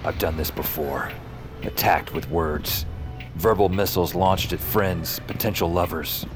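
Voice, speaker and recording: Low-voiced brooding fantasy hero. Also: male voice, measured pace. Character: anguished male urban-fantasy hero narrating his nightmare, self-deprecating. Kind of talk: first-person noir-like audio drama narration. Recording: loud music bed under voice.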